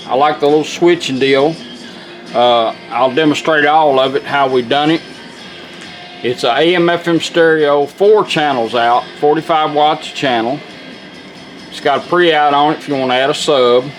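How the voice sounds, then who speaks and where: A plainspoken man with a slightly high voice walks through a product demonstration. He talks informally and folksily, with faint music behind him. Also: moderate pace. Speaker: presenter demonstrating a restored car radio.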